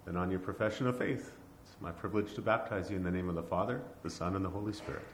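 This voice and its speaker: A man's voice: low-pitched, quick pace. A male pastor formally asking baptism questions and pronouncing the baptism. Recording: echoey room with faint noise.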